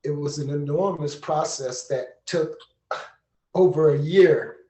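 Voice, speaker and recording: A man giving earnest, unscripted reflections on a panel in deliberate phrases, recorded with an echoey room sound. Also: a little high, mellow. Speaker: panel participant and storyteller.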